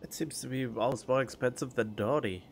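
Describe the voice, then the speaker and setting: A man's voice: medium pitch, brisk, slight background noise. A man giving casual, off-the-cuff gameplay commentary as a streamer. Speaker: game streamer. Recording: game music behind the voice.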